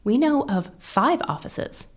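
A woman playing a sharp, impatient intelligence agent in an audio drama, speaking in a blunt briefing manner. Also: on the high side, expressive, takes it slowly. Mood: matter-of-fact.

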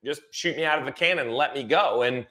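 Guy breaking down racing, bright punchy voice, really emphatic, repeats stuff to make the point. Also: medium pitch, quick pace. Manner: emphatic, repeats phrases for stress. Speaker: motorsport analyst. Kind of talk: conversational sports analysis.

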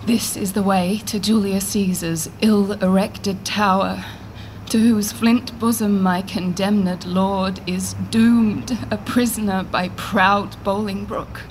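A woman's voice: mid-range voice, slight background noise, close to an everyday voice. A woman acting a sorrowful, loving, proud deposed queen in a Shakespearean radio drama, delivering formal poetic verse.

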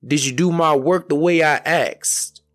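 A man's voice: on the high side, a little slow, a bit bright, no room sound, studio quality, voice pushed up. A man voicing a bossy, demanding devil in a folk tale, with a commanding, folksy manner. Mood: demanding, smug.

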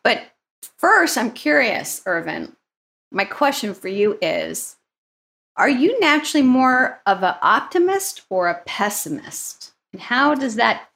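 A woman's voice: on the high side, sing-song, measured pace, vocal fry, fairly bright tone. A woman co-hosting a leadership podcast in an upbeat, conversational way, often checking in with "right?". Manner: upbeat, asks questions, frequent "right?" tags. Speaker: podcast co-host.

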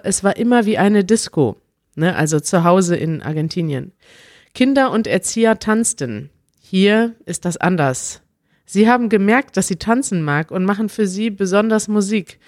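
A woman's voice: mid-range voice, a little mellow, no echo, studio quality. A woman co-hosting a podcast in casual chat, chatty and laughing often. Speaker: podcast co-host.

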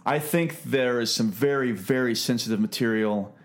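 Creaky-voiced man hosting a casual discussion. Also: mid-range voice. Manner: halting, repeats words while thinking. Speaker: podcast host. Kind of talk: casual film review discussion.

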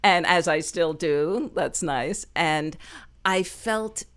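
A middle-aged woman with a low speaking voice, a performer telling stories from her life in an interview, lively and quick to laugh. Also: clean tone. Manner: lively, laughs often, animated asides. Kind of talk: conversational storytelling interview.

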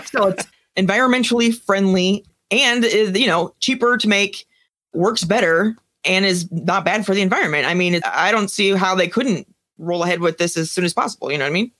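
A woman's voice: medium pitch, brisk, a bit bright. A woman co-hosting a podcast, explaining a story in an informal, chatty way. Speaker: podcast co-host. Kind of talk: casual podcast chat, explaining a news story.

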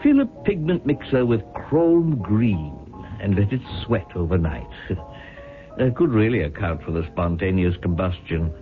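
A man's voice: fairly low pitch, sing-song. A smug, genial scientist-schemer in a 1970s radio play. His delivery swings dramatically up and down, lingering on words with pedantic relish. The sound is narrow and phone-like, with faint music. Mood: airy, sly. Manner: pedantic, chuckling, theatrical with drawn-out emphasis. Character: genial, smug male professor cheerfully plotting sabotage.

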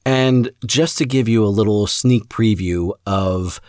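A man's voice: lowish voice, a little slow, darkish tone, dry recording, studio quality. A man hosting a podcast, speaking enthusiastically and appreciatively to his listeners in an introduction. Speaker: podcast host. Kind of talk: scripted-sounding podcast introduction addressed to listeners.

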